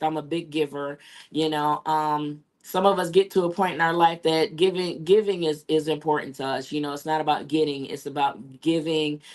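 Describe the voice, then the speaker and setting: A woman with a hoarse, raspy voice from losing it, hosting a casual talk show and chatting along with many fillers. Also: low-pitched. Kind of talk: casual live show sign-off.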